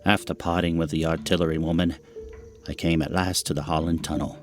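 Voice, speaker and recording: A man playing a grave, educated survivor in an audio drama, speaking in a solemn, measured, formal manner. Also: low voice, flat delivery, music in the background, natural voice. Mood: somber. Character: grave, educated male survivor narrating an invasion. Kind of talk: audio drama acting and narration.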